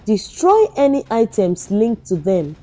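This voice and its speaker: A woman's voice: mid-range voice, sing-song, measured pace, dark tone, faint music behind. A woman voicing a solemn spiritual leader in a folktale, instructing and warning.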